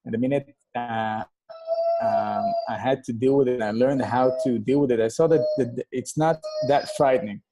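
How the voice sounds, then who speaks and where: A man born in Kenya, a guest acupuncturist, telling stories in a casual interview with many pauses and restarts. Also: medium pitch, over loud music, poor audio. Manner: halting, many fillers and restarts.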